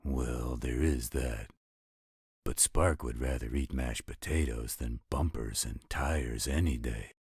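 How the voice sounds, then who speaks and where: A man playing a gruff, teasing werewolf with a husky voice in a roleplay audio drama. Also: slow, a little mellow, dry recording, clean studio sound, full character voice. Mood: easygoing, teasing. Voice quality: very deep, husky. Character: gruff, teasing male werewolf with a laid-back streak.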